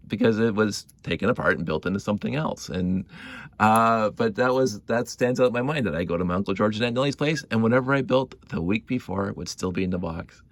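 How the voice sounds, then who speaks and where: Low-voiced middle-aged man reminiscing. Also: a bit bright, no echo. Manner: halting, repeats words; chuckles often, nostalgic. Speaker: hobby video creator. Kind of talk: casual rambling storytelling to camera.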